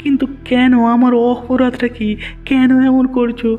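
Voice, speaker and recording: High-voiced meek, pleading villager played by a man. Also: monotone, normal speed. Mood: frightened pleading. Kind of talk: Bengali cartoon voice acting. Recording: dull lo-fi sound, music underneath.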